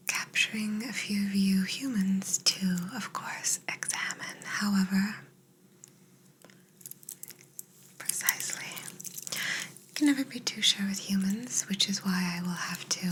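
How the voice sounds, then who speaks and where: A woman playing a polite, soothing female alien abductor in a whispered ASMR roleplay, gently reassuring and clinical in manner. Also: medium pitch, natural voice, low-quality recording. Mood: reassuring, apologetic. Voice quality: breathy unvoiced whisper.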